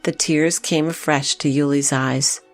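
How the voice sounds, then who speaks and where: A woman's voice: low voice, steady tone, unhurried, soft background music. A woman narrating a literary story in an audio drama, reading from a script.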